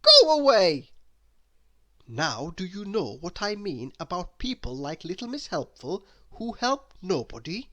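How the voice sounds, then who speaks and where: A woman reading a children's story aloud in an expressive narrating style. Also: lowish voice, a little slow, hardly any pauses. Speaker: storybook reader.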